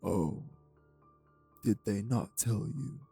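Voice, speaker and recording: A man playing a menacing, urbane old vampire kidnapper in an audio drama, with a measured, condescending, formal delivery and a gravelly voice. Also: warm tone, faint music behind, full character voice. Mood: cool, amused. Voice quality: gravelly, low. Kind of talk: audio roleplay drama acting.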